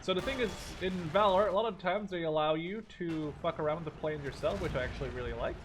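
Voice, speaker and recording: A man's voice: on the high side, quick pace. A man in his twenties giving casual, sweary let's play commentary, thinking aloud as he plays. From Canada or the Netherlands. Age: 20s. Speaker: gaming video host. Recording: game gunfire and vehicle noise behind. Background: war game artillery, gunfire and vehicles.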